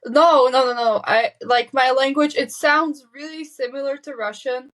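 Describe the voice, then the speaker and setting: A teenage girl whose first language is Slovak talks in English with a fairly high pitch. She answers openly, pausing to recheck facts and restarting her sentences. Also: steady tone, fairly bright tone. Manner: candid, self-correcting, quick nervous laughs. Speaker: guest. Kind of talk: casual interview chat.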